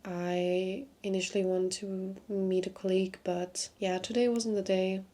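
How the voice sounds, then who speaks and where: Congested young woman, mellow and musing. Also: medium pitch, little pitch movement, unhurried. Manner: candid, musing, drops to soft near-whispers. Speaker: vlogger, consultant. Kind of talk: casual talk to camera. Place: small hotel room.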